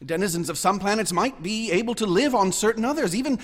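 High-pitched young male math student for radio drama: obsessive, insistent, eager to lecture. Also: fast, fairly bright tone. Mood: earnest, visionary. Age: young adult.

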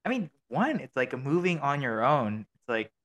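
A man's voice: mid-range voice, big pitch swings, moderate pace, fairly bright tone. A man hosting a casual podcast, rambling with many fillers and restarts. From Filipino American, living abroad. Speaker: podcast host.

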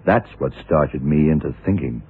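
An actor playing a shrewd, worldly, commanding male detective who explains deliberately, in the style of vintage radio drama. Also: very deep voice, lively intonation, background noise. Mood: patient, knowing. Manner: deliberate, hard-boiled explaining. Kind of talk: 1960s-70s radio drama acting. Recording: muffled, narrow-band vintage radio recording.

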